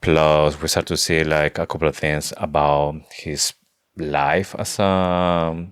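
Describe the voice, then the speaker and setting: A man who is a non-native English speaker, co-hosting a casual book discussion podcast with somewhat halting phrasing. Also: a bass voice, flat delivery, clean tone, dry recording, studio quality. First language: not English. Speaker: podcast co-host.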